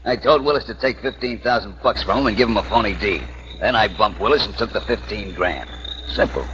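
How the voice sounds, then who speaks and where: Male actor as ruthless gangster, smug, low voice, 1940s radio drama, heavy background noise. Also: brisk, natural voice. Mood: smugly menacing. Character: cold-blooded bearded gangster on the run, smug and menacing. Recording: muffled, noisy 1940s radio broadcast.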